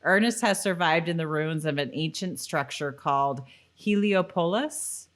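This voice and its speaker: A woman's voice: low voice, a little slow. A woman co-hosting a podcast, chatting casually about a TV show. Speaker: podcast co-host.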